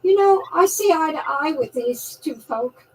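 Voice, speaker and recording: A woman's voice: high-pitched, lively intonation, measured pace. An older woman from New York with a New York accent, a guest activist talking in a folksy, chatty way with frequent restarts and fillers. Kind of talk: live online talk show, casual monologue. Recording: distant mic with faint background noise.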